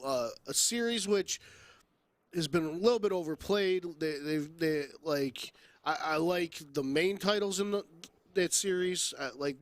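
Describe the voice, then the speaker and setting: A man's voice: fairly high pitch, fairly bright tone, no echo. A man co-hosting a podcast in casual, opinionated banter, blunt and given to exaggerated comparisons and swearing. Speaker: podcast co-host.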